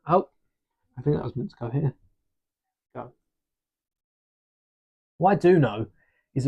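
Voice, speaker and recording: A man hosting a long live stream from his desk, chatting casually and thinking aloud as he builds, with a relaxed, self-deprecating manner. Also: mid-range voice. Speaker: livestream host building a brick set. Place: home desk during a livestream. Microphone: desk microphone.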